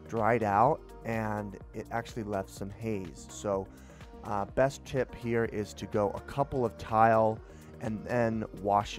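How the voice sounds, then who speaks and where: A young man, a home improvement vlogger, chatting casually through his tiling job with tips and frank admissions of his own mistakes. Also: on the low side. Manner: chatty, self-critical, explaining tips. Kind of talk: casual DIY voice-over. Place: small basement bathroom, indoors.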